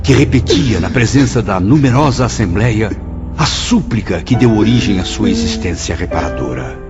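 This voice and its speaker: Deep-voiced solemn male narrator. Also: lively intonation, moderate pace. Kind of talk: scripted radio drama narration. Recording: dull lo-fi sound under loud music.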